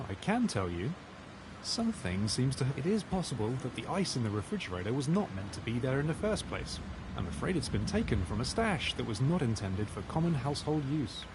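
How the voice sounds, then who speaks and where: Man acting a polite detective pig, animated pitch. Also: noisy background, close to an everyday voice. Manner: formal, deliberate phrasing. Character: famous detective pig, polite, measured, musing sleuth. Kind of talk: scripted video game character acting.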